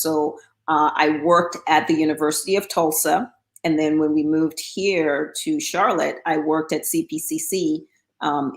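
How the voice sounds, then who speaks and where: A woman's voice: sits low, vocal fry, roomy sound. A middle-aged woman from Guyana, talking casually as an interview guest, with frequent fillers and restarts. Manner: chatty, with many um fillers and restarts.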